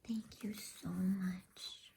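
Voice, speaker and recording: A woman reading a bedtime story in a gentle, unhurried ASMR whisper, soft and breathy. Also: fairly low pitch, fairly even intonation. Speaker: narrator and channel host. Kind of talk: ASMR bedtime story narration, read softly.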